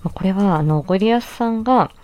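A woman's voice: medium pitch, fast, mellow. A woman hosting a casual solo audio stream, talking in a chatty, polite, explanatory way. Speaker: host and amateur voice actress.

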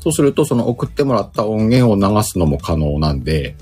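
A man's voice: on the low side, sing-song, fairly quick, mellow, soft background music. A man hosting a casual livestream chat, speaking informally in slang and often chuckling. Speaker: livestream host.

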